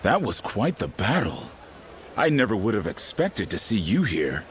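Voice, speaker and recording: A man voicing a sly, affable strategist in a video game, with a smooth, casual, teasing manner. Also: on the low side, huge pitch swings, moderate pace, a little hiss or hum. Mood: friendly, curious. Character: sly, affable male strategist serving a warlord. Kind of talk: video game dramatic acting.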